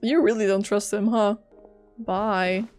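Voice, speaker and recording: A woman's voice: medium pitch, steady tone, slow pace, a little mellow. A young woman streaming a game, giving informal, chatty reaction commentary. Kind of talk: casual reaction commentary over gameplay. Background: game music.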